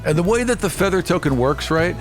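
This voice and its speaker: A man's voice: high-pitched, expressive, brisk, soft background music. A man as an aggrieved, proud merchant captain. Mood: vengeful. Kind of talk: improvised tabletop roleplay.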